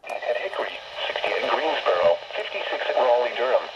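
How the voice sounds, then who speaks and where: A man's voice: mid-range voice, big pitch swings, brisk, muffled recording. Man reading weather over very noisy radio. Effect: heard through a radio speaker. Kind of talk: scripted weather bulletin reading.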